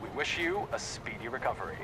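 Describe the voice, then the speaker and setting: A man playing a polished television news anchor in a video game, reading in a crisp broadcast manner. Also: medium pitch, sing-song, brisk, over music, natural voice, low-quality recording. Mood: newsy, measured. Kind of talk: scripted newsreading in a video game.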